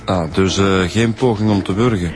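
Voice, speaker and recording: An actor with a Flemish accent playing an easygoing, practical police detective, informal and helpful, in a radio drama. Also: male voice, low voice, moderate pace, over music, lightly acted. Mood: easygoing, brisk.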